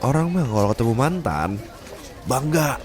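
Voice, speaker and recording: A man's voice: mid-range voice, big pitch swings, normal speed, crowd noise, lightly acted. A man playing a stern, haughty young boss who also teases as a boyfriend, in an Indonesian ASMR roleplay. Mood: teasing.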